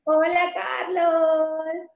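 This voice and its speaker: Woman, high voice, chatty interview guest, emphatic, laughs often; roomy, slightly muffled audio. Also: gravelly, a crowd in the background. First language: Spanish. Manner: animated and emphatic, stressing points slowly, laughs easily. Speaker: interview guest, pastry entrepreneur. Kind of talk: casual conversational interview. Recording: roomy, slightly dull sound.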